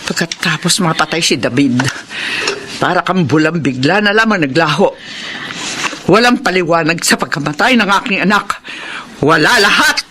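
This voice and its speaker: A woman's voice: lowish voice, animated, normal speed, sharp tone, slight background noise. An actress playing a stern, bitter, grieving older mother in a radio drama, speaking in an accusing, dramatic way. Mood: cold, bitter.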